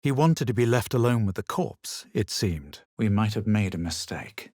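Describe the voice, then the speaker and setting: A man voicing a self-assured adventurer hero in a fantasy video game, with a husky voice and a measured, slightly superior, dramatic delivery. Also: fairly low pitch, lively intonation, darkish tone, no echo, clean studio sound. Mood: wary, observant. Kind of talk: video game voice acting, barks and past-tense narration.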